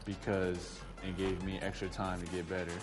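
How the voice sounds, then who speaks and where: A man's voice: low-pitched, monotone, moderate pace. A young man in his twenties, a basketball player, speaking plainly and reflectively in a documentary interview. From United States. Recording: music playing under the voice.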